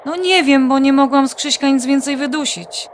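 A woman's voice: high voice, normal speed, a little hiss or hum, natural voice. An actress playing a worried, wry adult daughter confiding her troubles in a naturalistic audio drama. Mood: distressed, confiding.

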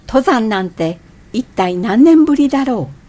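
Expressive middle-aged woman, lively high voice. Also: unhurried. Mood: reflective. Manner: expressive, theatrical inner monologue. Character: irritable middle-aged woman hiker, narrating her inner thoughts. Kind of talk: Japanese radio drama acting and narration.

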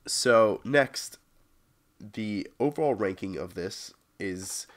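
A man's voice: on the low side, slow pace. A young adult man hosting a gaming video with casual gameplay commentary, sounding congested and sick with a cold.

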